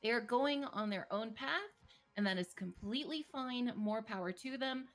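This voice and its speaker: A woman therapist speaking as a guest and giving calm, measured advice on a live stream. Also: fairly high pitch, faint music behind. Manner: slow, measured, reassuring explanations.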